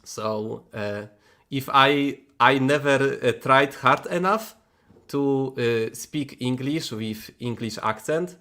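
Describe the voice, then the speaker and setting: A man's voice: medium pitch, slow pace, bright, forceful tone. A man with a Polish accent and Polish as his first language, hosting a casual livestream chat, rambling and restarting his words, laughing often, chatty and frank. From Poland. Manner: chatty and frank, mild swearing. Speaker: livestream host.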